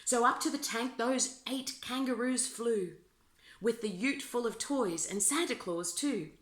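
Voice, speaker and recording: A woman reading rhyming children's verse aloud as an expressive storyteller. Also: on the high side, unhurried. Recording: roomy, echoing indoor sound.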